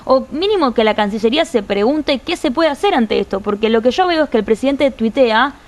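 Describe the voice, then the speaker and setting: A woman's voice: a little high, expressive, brisk. A woman with an Argentine accent arguing her point at length as a panelist on a political talk show. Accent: Argentine Spanish. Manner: fluent, argumentative, long sentences.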